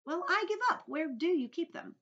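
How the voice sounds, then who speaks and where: Small storybook boy, played by a woman: raised pitch, lively, polite, excitable, curious, muffled recording. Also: normal speed, exaggerated voice. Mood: baffled, insistent. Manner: earnest, excitable. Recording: dull lo-fi recording.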